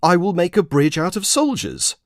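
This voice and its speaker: Whimsical old fantasy wizard, high voice, scatterbrained and pompous, for audio storytelling. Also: male voice, very animated, no echo, studio quality. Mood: airily grandiose. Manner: whimsical, rambling, theatrical pauses. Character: scatterbrained, smug, shaggy old male wizard.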